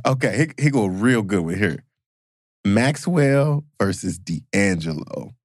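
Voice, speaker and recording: A man co-hosting a podcast, running a playful quiz and getting excited over the answers. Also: on the low side, a little slow, dry recording, clean studio sound. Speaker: podcast co-host. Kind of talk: casual podcast chat.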